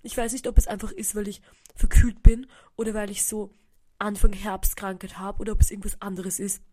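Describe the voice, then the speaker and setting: A young woman with an Austrian German accent rattles along quickly in a casual solo podcast. Her nose is blocked from a cold, so her voice sounds congested, and she rambles chattily, dropping in English words here and there. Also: mid-range voice, flat delivery, dark tone. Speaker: podcast host. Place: indoors at home.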